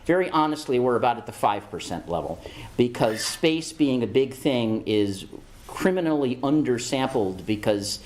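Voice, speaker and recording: A man's voice: mid-range voice, animated, normal speed, a little mellow, slight background noise. A man in a played clip speaks with a mid-range voice. He explains a science topic earnestly and repeats phrases for emphasis. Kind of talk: explanatory talk.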